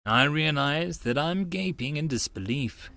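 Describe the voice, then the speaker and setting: A man's voice: on the high side, lively intonation, unhurried, vocal fry, pushed and bright. A man narrating a visual novel read-along, reading scripted story text with casual asides.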